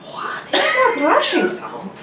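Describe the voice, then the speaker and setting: Woman reading a brisk, commanding male scientist. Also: extremely high pitch, huge pitch swings, pushed and bright, a little hiss or hum, pitched above the performer's own voice, heavily acted. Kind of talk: hammy table read of an old radio serial. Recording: roomy, distant mic.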